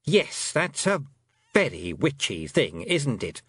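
A man voicing a haughty, cold fairy queen who talks in a silky, condescending, drawn-out way. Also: medium pitch, sing-song, a bit bright, slightly rough, no room sound, voice pushed up, heavily acted. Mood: mocking.

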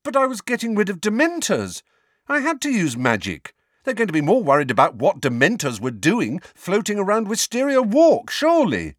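A man's voice: sits high, huge pitch swings, normal speed, no echo, clean studio sound, pitched above the performer's own voice, heavily acted. Breathless, urgent teenage boy, played by a man. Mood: strained, insistent. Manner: strained, urgent.

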